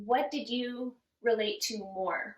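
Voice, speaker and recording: A woman hosts an interview, her voice sitting a little high as she welcomes her guest and asks short, friendly questions. She answers him with small murmurs of agreement. The room rings with echo around her. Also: takes it slowly. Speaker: podcast host. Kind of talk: casual interview. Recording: echoey room.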